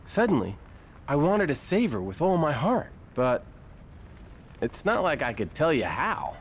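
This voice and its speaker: Young male hero, high voice, lively intonation, earnest, confident, lightly wry, fantasy game. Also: unhurried, slight background noise. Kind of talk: animated fantasy game voice acting.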